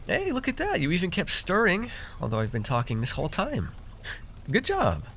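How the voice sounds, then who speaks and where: Casting: male actor as friendly, wry fae brewer, fantasy ASMR roleplay. Very expressive intonation, chatty and a little hesitant, light background ambience. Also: high-pitched, normal speed, vocal fry, slight background noise, full character voice. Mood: encouraging. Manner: hesitant, chatty, muttering asides to listener.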